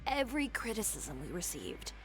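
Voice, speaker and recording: A cool, sharp-minded young female designer in a video game. She speaks in a measured, self-assured way at a medium pitch, over soft game music. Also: wildly swooping pitch. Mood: reflective.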